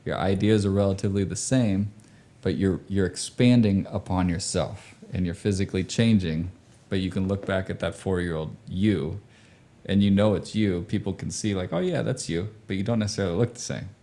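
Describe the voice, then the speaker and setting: Man, forties, deep pitch, mellow tone, slangy, anecdotal, casual conversation. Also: a little fast. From grew up overseas in Indonesia. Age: 40s. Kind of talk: casual chat about music.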